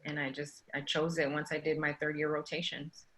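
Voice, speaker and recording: A woman physician talks casually on a panel, restarting sentences and filling with "like" and "um". Her voice is low and fairly flat in pitch. The tone has a bright, forward edge. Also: brisk. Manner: chatty, casual, with frequent restarts and "like". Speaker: OB-GYN physician. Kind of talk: panel conversation.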